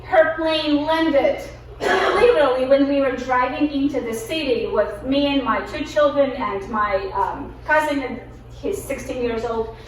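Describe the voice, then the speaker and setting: High-voiced Ukrainian woman in an echoey room. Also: a little slow. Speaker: guest presenter. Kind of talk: informal personal presentation to a group. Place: echoey indoor room, live presentation. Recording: echoey room, distant mic, faint noise.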